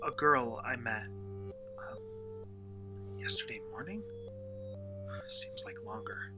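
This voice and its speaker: A man's voice: medium pitch, takes it slowly, music in the background, lightly acted. An actor playing a desperate, frightened man in hiding from monsters, urgent and faltering, in a horror audio drama. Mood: weary, hesitant.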